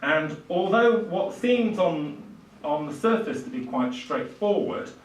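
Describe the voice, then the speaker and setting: An older man giving a literary lecture in a halting, hesitant way, with frequent pauses. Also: fairly high pitch, lively intonation. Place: small indoor lecture room with seated audience. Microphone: far camera, speaker at a lectern. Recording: roomy, distant microphone in a hall.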